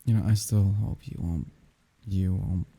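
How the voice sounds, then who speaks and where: Man acting a husky, dark-voiced grieving lover. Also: deep voice, steady tone, dry recording, full character voice. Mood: resigned, tender. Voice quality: husky, breathy, often dropping into whispers. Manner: halting, slow, tender. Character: grieving man saying farewell at his dead lover's grave. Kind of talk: intimate ASMR roleplay monologue.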